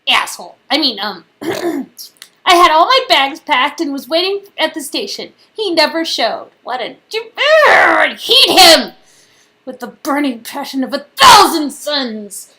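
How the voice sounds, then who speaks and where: A woman's voice: high voice, very animated, unhurried, sharp tone, heavily acted. A woman voicing a fiery, theatrical young woman on a drinking night in a story game. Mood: indignant.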